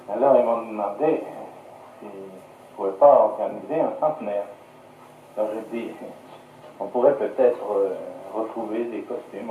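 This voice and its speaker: A man answering a radio interview as a curator, halting and searching for words, on a 1950s archive recording. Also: fairly low pitch, roomy sound, far from the mic, narrow, tinny audio, a little hiss or hum. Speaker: museum curator being interviewed.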